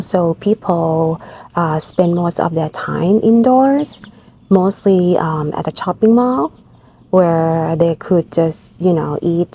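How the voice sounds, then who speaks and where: A woman's voice: lowish voice, dark tone, a little hiss or hum. A woman in her forties from Bangkok with a Thai accent, talking candidly and reflectively to camera about her own life. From Thailand, Bangkok. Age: 40s. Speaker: vlogger. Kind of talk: personal reflective talk to camera.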